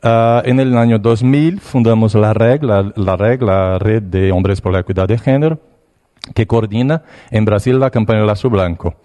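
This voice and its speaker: A man from Brazil with a Brazilian Portuguese accent, whose first language is Portuguese, giving a slide presentation in a hesitant, halting way with frequent restarts. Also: fairly low pitch, mellow. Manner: hesitant, pausing, restarts words. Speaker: conference presenter.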